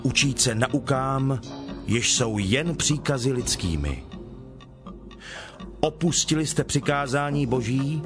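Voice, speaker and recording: An actor playing Jesus as a stern, earnest teacher in a dramatized scripture reading, with measured, emphatic delivery. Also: male voice, mid-range voice, lively intonation, close to an everyday voice. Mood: stern, reproving. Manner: measured, emphatic, solemn declamation. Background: music.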